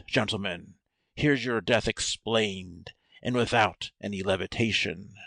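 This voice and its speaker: Audiobook drama role: male actor playing blustering American false prophet, low creaky voice, coarse and grandiose. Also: fairly bright tone, dry recording. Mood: scornful, accusing. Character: grandiose male cult prophet turned coarse, furious swindler.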